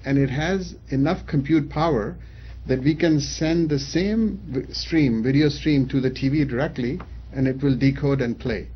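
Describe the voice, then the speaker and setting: A man's voice: medium pitch, normal speed, breathy edge, dull audio. A man in his fifties from Pakistan, raised in Saudi Arabia, answering interview questions as a company founder in a casual, hesitant way with many fillers and restarts.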